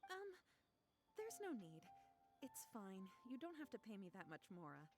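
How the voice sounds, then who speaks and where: A woman playing a gentle, courteous young businesswoman in a video game. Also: medium pitch, huge pitch swings, music in the background. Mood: polite, earnest. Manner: courteous, measured.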